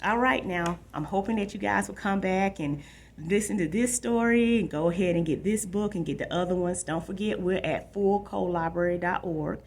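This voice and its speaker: A woman's voice: mid-range voice, moderate pace. A woman talking casually to young viewers as a librarian, informal and conversational, often repeating her phrases. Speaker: librarian presenting a book for tweens.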